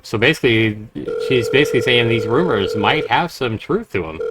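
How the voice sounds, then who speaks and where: A man livestreaming a horror game, reading dramatically and commenting casually, with loud game sound behind his voice. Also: medium pitch, heavy background noise. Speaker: game streamer. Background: horror game audio.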